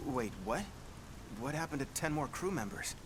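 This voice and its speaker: A man's voice: animated, normal speed, lightly acted. An actor playing a young male recruit in a video game, cheeky and sarcastic, always ready with a joking remark. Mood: puzzled. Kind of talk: video game cutscene dialogue. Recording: game audio over rumbling background noise.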